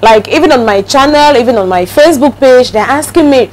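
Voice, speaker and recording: A woman's voice: high-pitched, expressive, a little fast, a little mellow, rough recording. A woman from Cameroon hosting a casual interview, chatty and prone to repeating words as she starts a sentence. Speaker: host.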